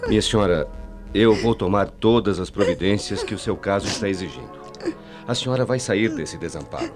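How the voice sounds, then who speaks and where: Guy playing kind solemn brazilian doctor in old radio play, low voice, measured n soothing, music behind. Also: lightly acted. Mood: reassuring. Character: kindly, reassuring male doctor, compassionate and formal. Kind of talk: melodramatic radio mystery drama.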